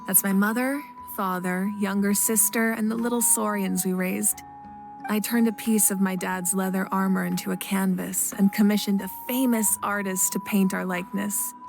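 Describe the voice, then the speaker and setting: A woman plays a noble young warrior queen from a video game, composed and kindly. Her medium-pitched voice is measured, gentle and dignified, with faint music underneath. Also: fairly even intonation, gravelly, natural voice. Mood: fond, reflective. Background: faint background music.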